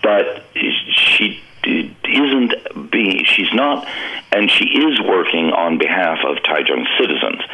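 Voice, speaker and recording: A man calling in to a radio show over a dull, lo-fi phone line. His voice is extremely deep. He gives political commentary, thinking aloud and restarting his sentences. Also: lively intonation, slow, slightly rough. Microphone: telephone.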